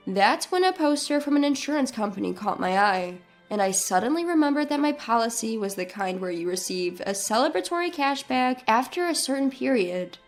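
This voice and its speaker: A woman narrates a scripted first-person story. Her voice sits on the high side. Music plays behind her. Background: background music.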